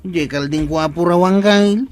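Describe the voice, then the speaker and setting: An actor playing an earnest, plaintive goat-owning villager in an Ilocano radio comedy drama, with an Ilocano Filipino accent. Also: male voice, sits high, moderate pace, a little mellow, close to an everyday voice. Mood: baffled, upset.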